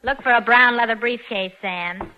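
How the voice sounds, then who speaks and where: A woman's voice: on the high side, normal speed, natural voice. An actress playing a cool, sly American woman swindler in a 1940s radio crime drama. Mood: cool, mocking. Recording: dull, faintly noisy vintage radio recording.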